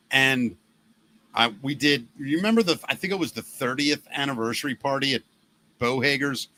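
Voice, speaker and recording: A middle-aged man hosting a casual podcast, a former radio DJ with a loud, gravelly former smoker's voice who tells stories and acts out the voices he quotes. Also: mid-range voice, unhurried, long pauses, low-quality recording. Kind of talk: casual chat.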